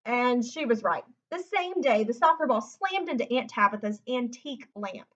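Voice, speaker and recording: Female storybook reader, higher-pitched, simple explanatory asides for kids, slightly dull lo-fi home recording. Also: animated, moderate pace, a bit bright. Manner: explains simply, as to young children. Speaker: read-aloud host. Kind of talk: picture book read-aloud with chatty asides. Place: indoors at home, sitting on the floor.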